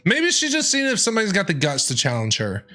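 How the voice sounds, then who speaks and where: A man giving casual live reaction commentary on a video game in an excitable, jokey way, often repeating himself. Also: sits high, quick pace. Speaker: game streamer reacting to a story quest. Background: game music.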